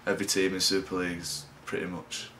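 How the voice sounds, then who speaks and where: A young man from England, a rugby league player giving low-key, halting interview answers. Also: monotone, bright, forceful tone, slight background noise.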